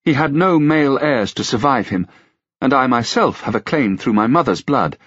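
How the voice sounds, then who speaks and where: A smooth-talking young lady of the court pleads and flatters in a raised, gentle voice, as a male reader puts her on. The recording is dry and a little dull. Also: medium pitch, moderate pace, no room sound, pitched above the performer's own voice. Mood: earnest. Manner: courtly, sweetly persuasive.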